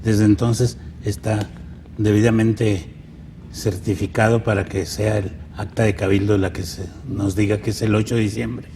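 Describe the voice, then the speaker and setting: A Mexican man speaks as a historian guest, factual and flat in intonation. His voice is on the low side and relaxed, with a little background noise. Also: moderate pace. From Mexico, born in Zacatecas. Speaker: panel guest, local historian. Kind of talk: factual panel discussion.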